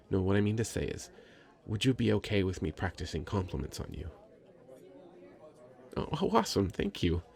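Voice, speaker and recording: Man acting shy stammering nerd, low gravelly voice. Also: exaggerated voice. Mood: nervous, rambling. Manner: stammering, hesitant, rambling, self-conscious. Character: shy, nervous, sweet nerdy young male stranger. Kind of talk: scripted ASMR roleplay, intimate conversational acting.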